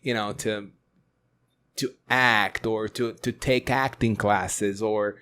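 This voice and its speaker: A man's voice: lowish voice, steady tone, slow, bright, forceful tone, clear, resonant tone. A man whose first language is Portuguese, hosting a podcast, asking hesitant, encouraging questions. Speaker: podcast host. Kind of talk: casual interview chat.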